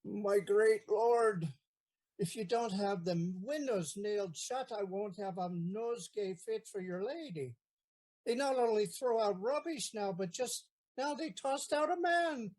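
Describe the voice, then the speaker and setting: A woman's voice: medium pitch, lively intonation, a little slow, a little mellow, poor audio. A woman acts out a half-drunk old gardener in a comic period stage play. The old fellow is stubborn and funny. The voice sits in an ordinary female register. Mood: indignant.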